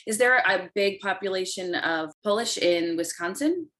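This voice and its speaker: A woman's voice: mid-range voice, moderate pace, a bit bright. A woman hosting a podcast interview, enthusiastic and meandering, restarting her sentences. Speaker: podcast host. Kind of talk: casual interview chat.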